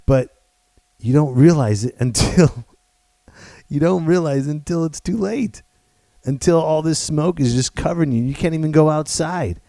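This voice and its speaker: A man hosting his own podcast, talking loud and playful in a rambling way with many restarts. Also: a little high, moderate pace, gravelly, dry recording. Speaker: podcast host. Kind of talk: casual solo podcast monologue.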